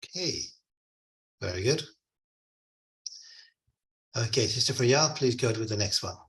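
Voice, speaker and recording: Male online tutor, headset on a call, gentle encouraging manner, pauses often. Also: medium pitch, expressive. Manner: patient, encouraging, hesitant with fillers. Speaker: Arabic teacher. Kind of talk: online class teaching.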